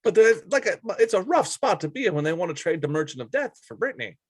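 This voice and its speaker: A man's voice: high-pitched, very animated, fast, dry recording. Man in casual, dry-sounding sports chat. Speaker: sports talk show co-host.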